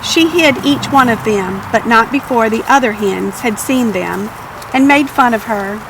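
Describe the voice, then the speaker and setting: A woman narrating a children's storybook aloud in an expressive, measured read-aloud style. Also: on the high side, creaky, noisy background. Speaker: storybook reader.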